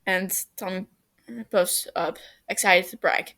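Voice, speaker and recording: A woman narrating a story as an amateur reader, halting a little, with small stumbles and self-corrections. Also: lowish voice, steady tone, bright, forceful tone. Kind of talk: storybook-like narration of fan fiction.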